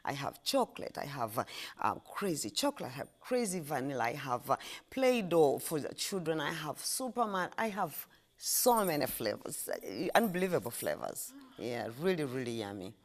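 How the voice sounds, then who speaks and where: A woman's voice: sits low, very animated, moderate pace. A middle-aged woman from Uganda with a Ugandan accent, chatting as an interview guest and repeating phrases for emphasis. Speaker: interview guest, ice cream shop owner. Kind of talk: casual interview answers.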